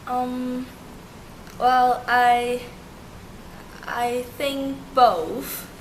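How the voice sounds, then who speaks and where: A young woman from Turkey, a native Turkish speaker, answering interview questions in halting English with many fillers and restarts. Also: sits high, little pitch movement. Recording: noisy amateur recording.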